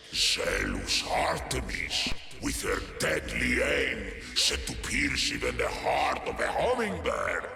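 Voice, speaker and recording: A monstrous titan villain from a video game, grandiose and menacing. The voice is gravelly and swings wildly in pitch, slow and echoing as it declaims. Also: male voice, full character voice. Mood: grandiose, contemptuous.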